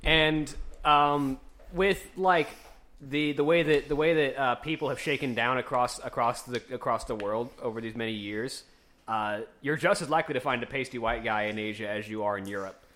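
A man's voice: medium pitch, moderate pace, a bit bright. A man playing a tabletop game who thinks aloud, stumbling and repeating words. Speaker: player.

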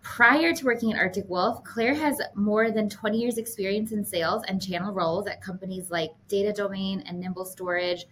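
A woman's voice: mid-range voice, fairly quick, few pauses, a bit bright, low-quality recording. A woman co-hosting a podcast, chatty and upbeat, with lots of fillers. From Minnesota. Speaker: podcast co-host. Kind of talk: casual podcast chat. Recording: faint background noise.

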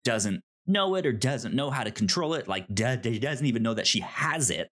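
A man co-hosting a casual discussion of a TV show, halting and repeating words as he thinks. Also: mid-range voice, animated, fairly bright tone. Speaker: podcast co-host.

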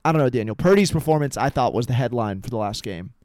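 A man hosting a football podcast, talking in a measured, analytical way. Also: mid-range voice, expressive, darkish tone, no echo, clean studio sound. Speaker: podcast host. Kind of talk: casual sports chat.

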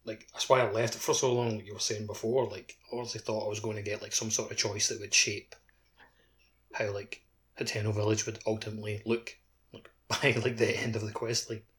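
A man chats as a casual gaming podcast co-host. His voice is fairly low and he talks rapidly. His sentences are loose and full of filler words. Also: flat delivery, a bit bright. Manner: peppers speech with "like".

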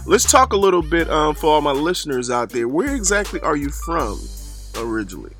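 A man's voice: fairly high pitch, sing-song, a little fast, fairly bright tone, faint music behind. A man hosting a hip-hop radio interview, talking in laid-back slang with lots of fillers and hype. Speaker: radio show host.

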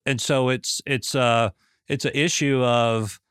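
Male coach, mid-pitched, measured and probing, dry close recording. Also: fairly even intonation, a bit bright, clean tone, dry recording. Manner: probing, measured, repeats questions. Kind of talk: coaching conversation.

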